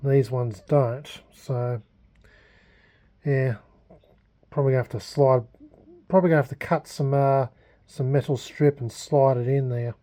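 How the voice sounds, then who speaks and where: An Australian man giving a casual, unscripted hobby vlog update, speaking haltingly with frequent pauses. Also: mid-range voice, fairly even intonation, mellow. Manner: halting, hesitant, unscripted delivery with many pauses. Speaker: hobbyist vlogger. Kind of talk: casual restoration progress vlog.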